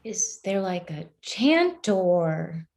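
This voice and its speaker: A woman's voice: on the low side, sing-song, darkish tone, exaggerated voice. A woman in a staged play reading, voicing a hot-tempered, awkward and defensive teenage schoolgirl with a blunt, rambling way of talking. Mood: subdued, curious. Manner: blunt, sweary, halting then rambling.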